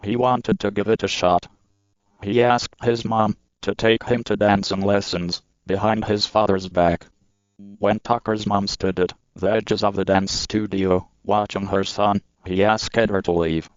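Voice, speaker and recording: A man with a low, flat voice narrates a news feature at an even, measured pace. His tone is smooth and clean, recorded dry with a slightly dull, muffled sound. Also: no room sound. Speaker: news reporter.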